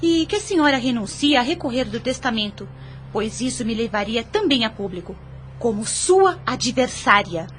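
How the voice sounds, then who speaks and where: A woman's voice: fairly high pitch, very animated, moderate pace, slight background noise. A Brazilian woman playing a spirited, principled young niece in an audio drama, formal, firm and earnest. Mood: cold, resolute.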